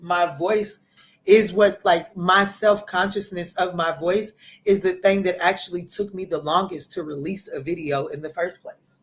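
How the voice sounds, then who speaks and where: A woman's voice: fairly low pitch, steady tone, measured pace. A woman, hosting a casual tarot livestream and chatting loosely with viewers. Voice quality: raspy. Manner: chatty, loose and playful, greeting viewers by name. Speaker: tarot reader and livestream host.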